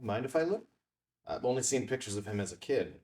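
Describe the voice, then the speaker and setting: A man's voice: medium pitch, lively intonation, fairly quick, a little mellow, lightly acted. A man playing a casual, probing visitor to a diner in a film table read, with an offhand, slightly hesitant delivery.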